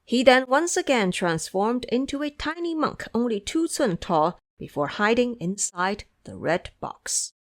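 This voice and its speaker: A woman narrating an audio drama as a scripted story, with expressive, dramatic delivery. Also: a little high, a little slow, few pauses.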